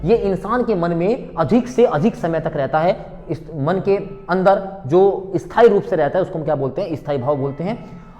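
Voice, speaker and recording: Man, exam revision teacher, fairly high pitch, self-answered questions, repeated key points, hall-like echo. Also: a little mellow. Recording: long hall-like reverb on voice.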